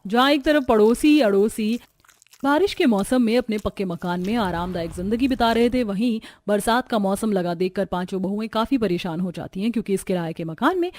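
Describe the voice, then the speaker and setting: A woman's voice: medium pitch, brisk, a little mellow, slight background noise. A woman giving scripted narration for a cartoon story as its narrator.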